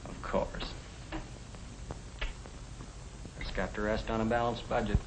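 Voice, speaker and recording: An actor playing a practical young American husband, plain-spoken and reasoning, in the style of a 1950 educational film. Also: male voice, fairly low pitch, flat delivery, a little fast, natural voice. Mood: matter-of-fact. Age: young adult. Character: young professional husband, practical, matter-of-fact, budget-minded. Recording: echoey, noisy 1950 film soundtrack.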